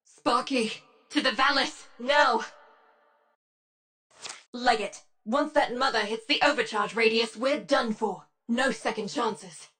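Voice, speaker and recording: A tough rebel leader directing a game mission. Her voice sits a little high and she speaks with slow, weighty intensity, in terse commands, over a slightly noisy background. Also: sharp tone. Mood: commanding. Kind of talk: dramatic game mission dialogue.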